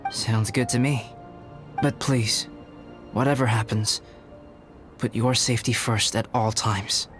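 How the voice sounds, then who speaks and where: An actor playing a calm, reserved scholarly alchemist in a video game, speaking in a measured, formal and articulate way. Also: male voice, medium pitch, music in the background, close to an everyday voice. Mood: composed, courteous. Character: calm, youthful-looking male scholarly alchemist, reserved and courteous. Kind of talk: video game character acting.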